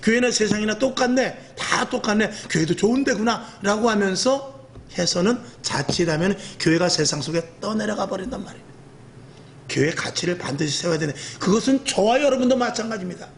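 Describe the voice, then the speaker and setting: A middle-aged male pastor preaching an evening sermon in a fairly high, rough, earthy voice. He speaks in a lively way and often acts out other people's lines. A faint hum sits behind him. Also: brisk. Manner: lively, acts out quoted speech, self-mocking humor.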